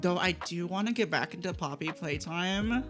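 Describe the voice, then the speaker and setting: A woman's voice: lowish voice, moderate pace, over music. A woman chatting casually as she hosts a gaming livestream, giggly and easily sidetracked. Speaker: streamer hosting a gaming livestream.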